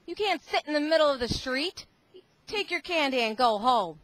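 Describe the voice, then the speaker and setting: A woman voicing a stern but kind policeman in a read-aloud storybook, loud and emphatic. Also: sits high, lively intonation, normal speed, no room sound, voice pushed up, poor audio. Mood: scolding.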